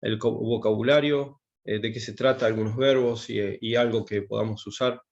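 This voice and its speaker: A man's voice: lowish voice, fairly even intonation, moderate pace, a little mellow, highs cut off. Hesitant man in his fifties. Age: 50s. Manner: hesitant, many fillers and restarts. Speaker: taekwondo instructor teaching English. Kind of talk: online class presentation.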